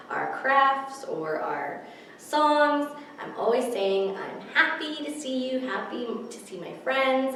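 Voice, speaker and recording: Echoey, slow-paced woman leading children's storytime. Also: medium pitch, a bit bright. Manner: simple, encouraging address to small children. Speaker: children's library storytime host. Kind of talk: children's storytime presenting, teaching and reading aloud. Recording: echoey room, distant mic, faint noise.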